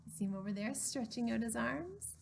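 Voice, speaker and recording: A woman hosting a story time for small children, speaking in a simple, expressive, child-directed way as she narrates. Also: fairly high pitch, brisk, vocal fry, a little hiss or hum.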